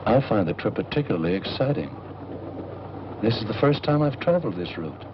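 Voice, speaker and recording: An actor playing a courteous, cryptic older seer in a 1940s noir film, speaking in a formal, measured, enigmatic way. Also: male voice, lowish voice, sing-song, noisy background. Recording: dull old film soundtrack with music behind.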